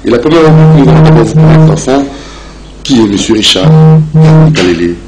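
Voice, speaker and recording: A man with a Congolese accent hosting a television interview in halting, wordy phrases. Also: fairly high pitch, animated, loud music behind the voice, poor audio. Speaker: interviewer, show host.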